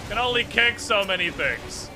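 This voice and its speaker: A man's voice: high-pitched, lively intonation, normal speed. A man giving casual, joking gaming commentary as a streamer, with some swearing. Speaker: game streamer. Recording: music behind the voice. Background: game music.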